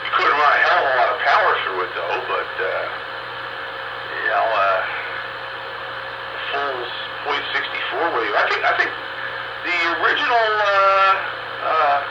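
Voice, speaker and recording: A man's voice: on the high side, very animated, measured pace, long pauses. A man chatting casually as an amateur operator on two-way radio. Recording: very noisy, muffled, roomy radio transmission.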